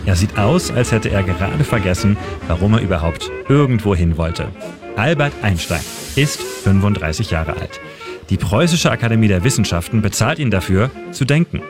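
Low-voiced male storyteller over clear music. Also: expressive, moderate pace. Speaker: history segment presenter. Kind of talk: scripted storytelling narration. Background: music bed.

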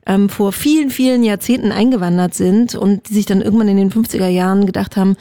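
A woman's voice: medium pitch, quick pace, warm tone, no room sound. A woman chatting casually as co-host in a tight, dry radio studio.

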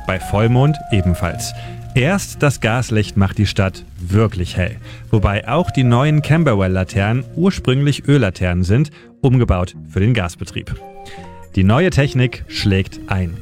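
Radio editor guy reading a history bit, low voice, steady, music under it. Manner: measured storytelling delivery. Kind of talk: scripted narration. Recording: narration over clear music. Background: music bed.

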